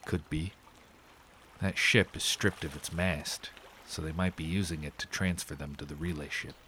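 Brooding 1930s private sleuth, extremely low voice, hard-boiled and terse, slight background noise. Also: male voice, steady tone, normal speed, sharp tone. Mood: guarded, serious. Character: gruff, protective amateur detective, brooding 1930s gumshoe. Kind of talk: 1930s noir audio drama acting.

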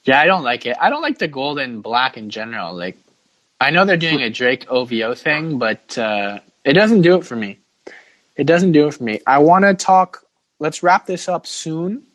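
A man's voice: a little high, big pitch swings, normal speed, a bit bright, no room sound, low-quality recording. A man hosting a casual sports podcast, giving opinions in a mock-dramatic, self-deprecating way. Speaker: podcast host.

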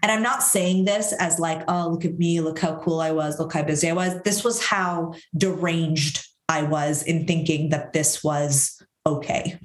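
Low-voiced woman, frank and reflective. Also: fairly even intonation, roomy sound. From Syria. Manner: reflective, frank, lists events in quick runs. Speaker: guest, author and professor. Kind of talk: candid interview conversation.

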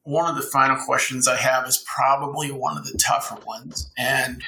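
A man hosting a podcast, setting up long interview questions in a measured way. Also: medium pitch, steady tone, bright, forceful tone, slight background noise, low-quality recording. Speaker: podcast host.

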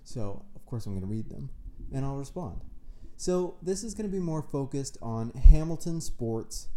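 A man's voice: medium pitch, animated, moderate pace, dark tone. A man from Canada hosting a casual solo sports podcast, rambling and self-deprecating, nasal and tired from a cold. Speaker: podcast host. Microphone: own podcast microphone.

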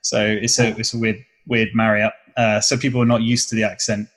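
A man's voice: on the low side, level tone, fairly quick, fairly bright tone. A young man with a southern English accent, a comedy video creator chatting casually in an interview and explaining things at length with restarts. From southern England.

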